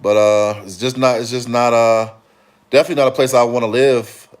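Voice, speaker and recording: A man from Chicago hosting a casual livestream, answering comments in a relaxed, rambling way with frequent fillers and restarts. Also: mid-range voice, fairly quick. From Chicago, Illinois. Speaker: livestream host.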